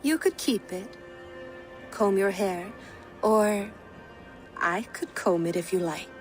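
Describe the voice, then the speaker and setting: An actress playing a teasing, self-assured Viking-era woman in a video game, coy and soft, lingering on words. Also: medium pitch, slow, faint music behind, lightly acted. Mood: flirtatious.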